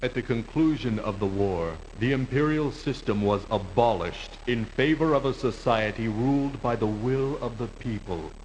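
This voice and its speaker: A man's voice: on the low side, close to an everyday voice. A man voicing a solemn scripted narration of a war history for a video game. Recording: faintly noisy 1990s game audio.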